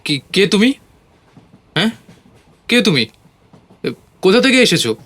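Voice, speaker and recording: Animated, high-voiced male visitor, acted by a man. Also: measured pace, full character voice. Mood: frightened demanding. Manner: emphatic, questioning. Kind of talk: audio horror story acting.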